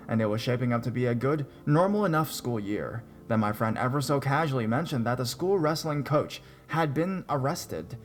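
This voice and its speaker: A man reads viewer-submitted horror stories aloud at a middle pitch. He keeps a steady storytelling delivery and drops in dry, sarcastic remarks along the way. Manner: wry, sarcastic asides. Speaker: narrator. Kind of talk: scripted narration of reader stories.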